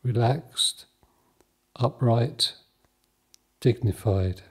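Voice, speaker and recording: A man leading a guided mindfulness meditation in a calm, measured, soothing manner, leaving long pauses between short phrases. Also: medium pitch, breathy edge.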